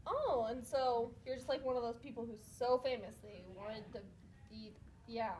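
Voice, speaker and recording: A woman's voice: on the high side, normal speed, a little mellow, slight background noise. A woman anchoring a mock news skit in a chatty, stumbling way. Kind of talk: acting out a mock news show.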